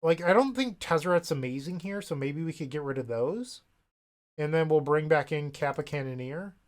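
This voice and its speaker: A young man with a somewhat higher voice talks through his card game turns, a little sniffly from a cold. He thinks out loud with dry, self-deprecating remarks, sounding like he sits at a home desk mic. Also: animated, moderate pace. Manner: thinks aloud, wry, self-deprecating asides. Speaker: gaming content creator. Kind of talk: casual gameplay commentary.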